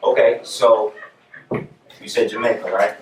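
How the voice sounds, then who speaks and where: A man speaking to high school students in a classroom, coaxing answers out of them with brisk, streetwise questions. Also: fairly even intonation. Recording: roomy, distant, noisy classroom recording.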